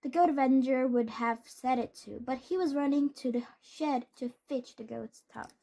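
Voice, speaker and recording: A woman reading a children's storybook aloud in a deliberate way, with small stumbles and corrections. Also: sits high, steady tone, gravelly, mellow. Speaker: read-aloud host.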